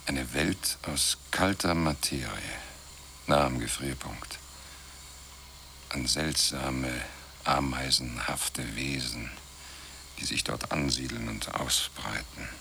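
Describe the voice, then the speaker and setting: An actor in a radio drama playing a thoughtful male moon scientist who speaks slowly and ponderingly, at moments hushed. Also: a bass voice, a little hiss or hum, exaggerated voice. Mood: brooding, awed.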